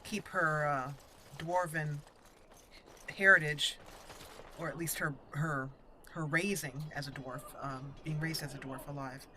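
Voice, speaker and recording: Bright, chatty woman gamer. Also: deep voice, fairly even intonation, unhurried, slight background noise. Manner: chatty, giggly, playful exclamations. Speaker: let's play host. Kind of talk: casual gameplay commentary.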